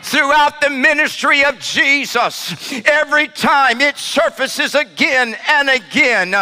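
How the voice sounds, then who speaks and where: A man preaching a sermon in an emphatic, declamatory manner, with deliberate dramatic phrasing and drawn-out key words. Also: very high-pitched. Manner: emphatic, declamatory, often raising voice to a shout.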